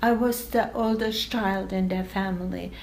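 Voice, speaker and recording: An older woman from Lithuania, a native Lithuanian speaker, explaining patiently in a slow, deliberate way during an oral history interview. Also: medium pitch, steady tone, vocal fry, darkish tone, a little hiss or hum.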